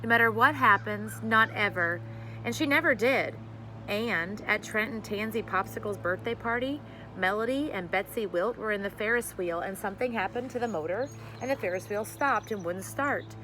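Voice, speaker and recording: Female teacher, mid-pitched, expressive children's read-aloud, recorded with noticeable ambient noise. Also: moderate pace, low-quality recording. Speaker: teacher reading to a class.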